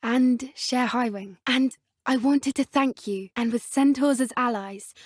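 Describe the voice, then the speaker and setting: A woman with a British accent playing an earnest, gentle teenage witch student in a video game, soft-spoken and politely phrased. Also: sits high, a little slow, no room sound, studio quality. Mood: warm, grateful. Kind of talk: video game character dialogue.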